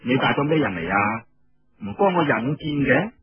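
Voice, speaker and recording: Man playing a husky, proud rebel leader. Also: medium pitch, big pitch swings, normal speed. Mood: genial. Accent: Cantonese. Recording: dull lo-fi radio recording.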